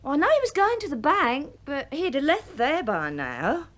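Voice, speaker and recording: A woman's voice: sits high, wildly swooping pitch, moderate pace, a bit bright, heavily acted. An actress playing a saucy, gossipy middle-aged English cleaning woman in a British radio drama, chatty and teasing. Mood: helpful. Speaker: actor in a radio play.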